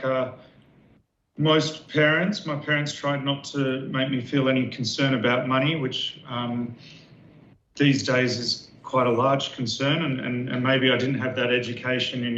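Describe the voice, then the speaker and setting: Man on a roomy lo-fi line. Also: mid-range voice. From Western Australia. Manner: relaxed, many fillers and repeats. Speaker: architect guest. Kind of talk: casual interview talk. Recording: roomy, dull lo-fi sound with faint noise.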